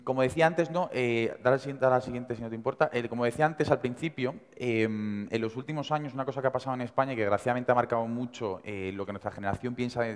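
A man in his twenties from Seville with an Andalusian accent, giving an energetic, conversational motivational talk to students in a university auditorium. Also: medium pitch. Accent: Andalusian Spanish. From Seville, Spain. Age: 20s. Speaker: invited speaker. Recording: reverberant hall.

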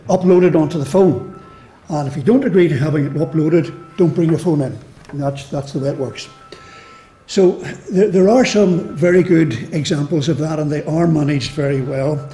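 A Northern Irish man speaking on a conference panel in a slightly higher, mellow voice. He talks in a measured way and often restarts his phrases. The sound carries an echoey hall. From Northern Ireland. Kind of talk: panel discussion answer. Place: lecture hall.

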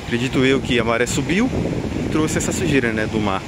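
A man's voice: medium pitch, expressive, a little fast. A man with a Brazilian accent giving unscripted walking vlog commentary, repeating himself in an admonishing way with frequent tag questions. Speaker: vlogger. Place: outdoors on an open windy beach. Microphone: handheld camera mic, behind the camera. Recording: loud wind noise on mic. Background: wind and outdoor ambience.

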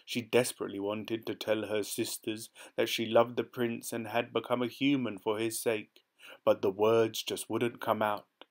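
A man calmly reading a fairy tale aloud from a storybook. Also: fairly low pitch, moderate pace. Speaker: storybook reader. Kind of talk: gentle read-aloud storytelling.

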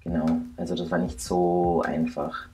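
Very low-voiced man in his thirties. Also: flat delivery, normal speed, darkish tone, faint music behind. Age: 30s. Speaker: interviewee. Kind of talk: personal testimony to camera. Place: indoor studio set, seated.

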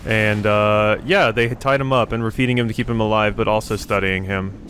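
A man's voice: fairly low pitch, steady tone, a little fast, a bit bright, a little hiss or hum. A man giving casual let's play commentary, thinking aloud as he goes. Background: game ambience.

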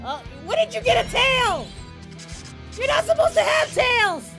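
A woman voicing an excitable cartoon martial-arts hero, giving brash, crude reaction commentary. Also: very high voice, a little slow, over music, heavily acted. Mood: indignant. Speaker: reaction channel host.

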